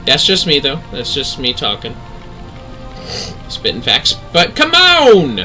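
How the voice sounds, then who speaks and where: A man commentates on a game livestream in a very bright, forceful voice. His pitch is animated and jumps around as he exclaims and jokes. He talks casually, swears freely, and has faint game music behind him. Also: takes it slowly, poor audio. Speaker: livestream host.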